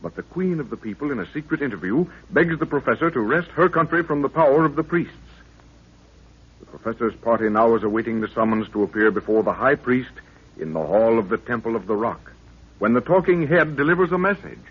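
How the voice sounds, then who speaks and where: Announcer: 1930s radio serial narrator, ominous, deliberate, rough-edged voice, thin phone-like vintage sound. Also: male voice, mid-range voice, lively intonation. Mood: dramatic recap. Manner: dramatic, ominous, measured storytelling. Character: solemn storyteller of an African jungle mystery serial. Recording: tinny, muffled 1930s radio recording.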